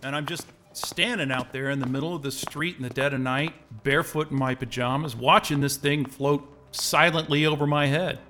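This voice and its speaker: Nervous, rambling male candidate, higher register, sharp forceful tone, naturalistic audio drama. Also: fairly even intonation, moderate pace, few pauses, a little hiss or hum. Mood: wistful, rambling. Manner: rambling, hesitant, casual muttering and calling out. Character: nervous, confused, impatient male interview candidate, rambling and exasperated.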